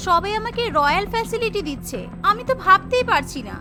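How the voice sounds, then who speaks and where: Woman voicing a meek high-voiced young cartoon bride. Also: lively intonation, fast talker, music in the background. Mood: puzzled. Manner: modest, deferential. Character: meek, polite young bride from a poor family. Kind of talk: cartoon character dialogue.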